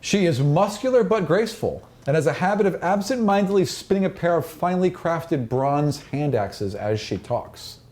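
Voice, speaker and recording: A man's voice: on the high side, animated, moderate pace, hardly any pauses, darkish tone. A man narrating a tabletop fantasy game as game master, moving between descriptive scene-setting and casual asides.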